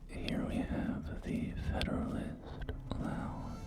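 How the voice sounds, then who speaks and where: Whispering man, slow ASMR real estate agent. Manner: polite, hesitant salesman patter. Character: courteous real estate agent in a post-nuclear wasteland. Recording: whisper under loud background music. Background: music and game audio.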